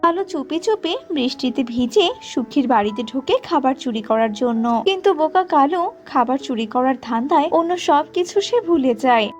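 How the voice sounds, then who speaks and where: A woman's voice: high voice, lively intonation, fairly quick, few pauses, soft background music. A woman narrating a cartoon tale as a scripted storyteller.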